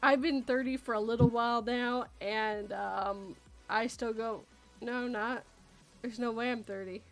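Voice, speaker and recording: A woman's voice: a little high, steady tone, measured pace, soft background music. A Canadian woman in her thirties hosting a casual chat show, talking loosely and laughing as she reads out chat messages. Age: 30s. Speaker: podcast host reading chat.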